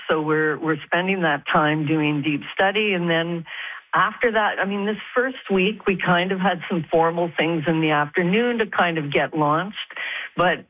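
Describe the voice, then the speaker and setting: A program organizer speaking casually and upbeat in an interview, with many ums and you-knows. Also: mid-range voice. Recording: tinny phone line.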